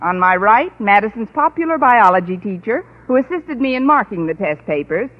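An actress playing a wry, articulate English teacher with precise diction, in old-time radio comedy style. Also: female voice, mid-range voice, very animated, a little fast, close to an everyday voice. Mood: brisk, wry. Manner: crisp schoolmarm diction, dry wit. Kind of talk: 1940s-50s radio sitcom acting. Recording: muffled old radio recording.

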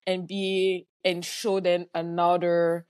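Woman, middle-aged guest, slightly low pitch, stop-start phrasing, dry close sound. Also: level tone, darkish tone, clean tone, no echo. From Cameroon. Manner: halting, many fillers and restarts. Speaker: guest entrepreneur. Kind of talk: conversational interview answer.

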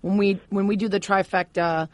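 A woman hosting an interview, with a voice lowered by vocal cord surgery, chatty and affirming as she asks long questions. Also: fairly even intonation, moderate pace, no echo. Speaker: podcast host, interviewer. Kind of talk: conversational interview.

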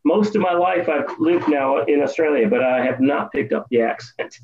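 A man's voice: a little high, normal speed, vocal fry, a little mellow, muffled recording. A man in his fifties, originally from the United States, answering interview questions in a casual, hesitant way with many ums and restarts. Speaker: guest. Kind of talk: casual interview over a call.

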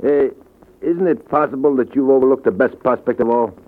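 Slangy American bookmaker male actor, mid-pitched and casual, old radio detective show, thin recording. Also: fairly quick, close to an everyday voice. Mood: casual, probing. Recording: muffled narrow-band old radio recording.

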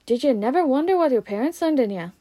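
A woman voicing a gruff, blustery but kindly giant man with a Scottish accent, reading from a storybook. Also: a little slow, darkish tone, dry recording. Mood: indignant. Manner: booming, blustery.

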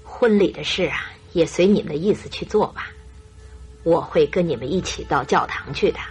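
An indulgent middle-aged mother in a radio play. Her low voice gives gentle, reassuring advice, with music behind it. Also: animated, moderate pace, husky, heavily acted. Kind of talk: radio drama acting.

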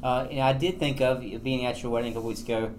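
Guy hosting a church podcast, mid pitched, laid back, faint hiss. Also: steady tone, fast, rough recording. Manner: easygoing, brief affirmations. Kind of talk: casual podcast conversation.

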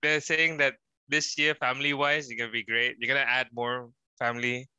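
A man from Brunei co-hosting a casual podcast with dry, unhurried quips. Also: medium pitch, level tone, pushed and bright, clean tone, dry recording. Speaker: podcast co-host. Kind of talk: casual chat.

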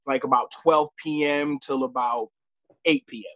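A man's voice: medium pitch, steady tone, a bit bright, dry recording, muffled recording. A man in his late thirties from the United States, chatting casually as an interview guest and often repeating his words. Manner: halting, repeats words and phrases. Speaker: podcast guest, sports referee.

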